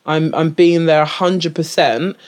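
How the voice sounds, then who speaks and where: A woman's voice: sits low, unhurried. A woman, a guest improviser, chatting casually in an interview, restarting her sentences often and laughing easily. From England. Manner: animated, full of restarts and fillers, laughs often.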